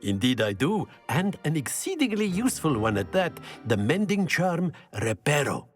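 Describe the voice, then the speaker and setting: An actor playing a jovial wizard teacher in a video game, speaking with flourish and encouragement. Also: male voice, on the high side, sing-song, normal speed, soft background music. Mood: pleased. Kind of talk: scripted video game dialogue.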